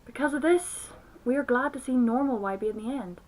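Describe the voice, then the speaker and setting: A woman delivers scripted film review commentary. Her voice sits fairly high as she talks through her points as a reviewer. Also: brisk, darkish tone, poor audio. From outside the United States.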